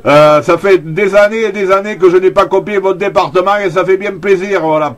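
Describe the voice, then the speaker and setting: A man's voice: high voice, steady tone, fairly quick. A middle-aged man from France chatting as a CB radio operator, repeating details and tagging his phrases. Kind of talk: radio contact chat.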